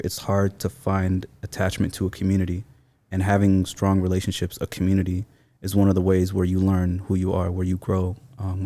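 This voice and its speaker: A man's voice: low voice, mellow, no echo. A man in his thirties from Seattle, born in Somalia, talking reflectively and informally as an interview guest. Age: 30s. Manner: thoughtful, informal slangy phrasing. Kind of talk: reflective casual interview talk.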